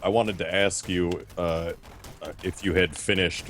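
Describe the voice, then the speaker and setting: A man's voice: low-pitched, steady tone, measured pace, a bit bright, soft background music. A man calling in to a show and chatting casually. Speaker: caller. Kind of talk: casual chat. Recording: noisy voice-chat line with clicks.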